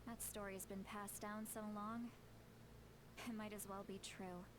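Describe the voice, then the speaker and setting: An eccentric, powerful elder of a tribe in a video game, played by a woman. She talks frankly and reflectively, proud yet quick to put herself down. Her mid-pitched voice sits over clear ambient background noise. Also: flat delivery, natural voice. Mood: wry, candid.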